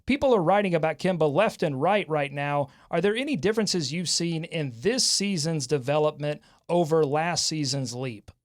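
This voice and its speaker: A man's voice: a little high, lively intonation, normal speed, few pauses. A man hosting a sports interview, setting up prepared questions packed with statistics. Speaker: sports show host, interviewer.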